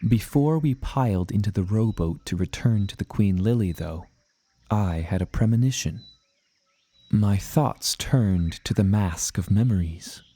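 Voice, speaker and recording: An earnest adventurer looking back on his journeys for an audio drama. The voice sits very low and has a soft, mellow tone. He tells the story in a measured, literary, reflective way. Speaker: narrating character. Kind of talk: scripted first-person audio drama narration.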